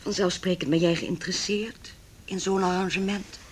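A woman's voice: fairly low pitch, steady tone, normal speed, breathy edge. An actress playing a cold, wealthy middle-aged mother in a Dutch radio play, speaking in a formal, condescending, cutting way. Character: haughty, cold, wealthy mother opposing her son's marriage.

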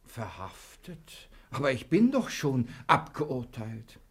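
A cheeky prisoner, very lively. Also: male voice, mid-range voice, slow pace, full character voice. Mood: sleepy, bewildered. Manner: feigned bewilderment, impudent. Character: cheeky, innocent-acting male prisoner, a small-time forger. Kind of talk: German radio play acting.

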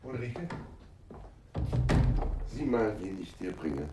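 An actor playing a controlling, protective middle-aged husband in a German radio drama, firm, formal and soothingly patronising. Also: male voice, on the low side, animated, takes it slowly, heavy background noise. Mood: protective, grave.

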